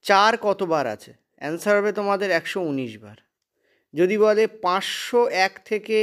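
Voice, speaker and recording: Male maths tutor. High-pitched, rapid delivery, emphatic repetition, frequent check questions. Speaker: maths teacher. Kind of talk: exam-prep lesson, explaining step by step.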